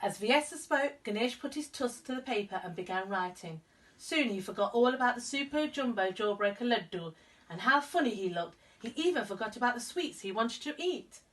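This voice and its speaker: A woman narrating a children's story expressively as a storyteller, with clear emphasis for young listeners. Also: on the high side, expressive, fairly quick, fairly bright tone, recorded from a distance, low-quality recording. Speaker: storyteller, school reader.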